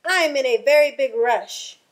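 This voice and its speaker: A woman's voice: high-pitched, pitched above the performer's own voice. A woman voicing a brusque male fox in a storybook who is always in a big rush. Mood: rushed, impatient. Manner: hurried, brusque.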